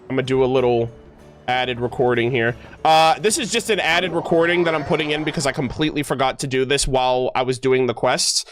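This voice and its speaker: A man's voice: mid-range voice, a little fast, soft background music. A man livestreaming a game, chatting casually with viewers in a rambling, slangy way and often correcting himself. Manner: rambling, self-correcting, slangy, animated asides. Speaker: game streamer.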